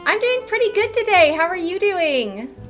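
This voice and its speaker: A woman's voice: extremely high pitch, sing-song, normal speed, a bit bright. A woman game streamer chatting casually and playfully with her live audience in an informal way. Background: game music.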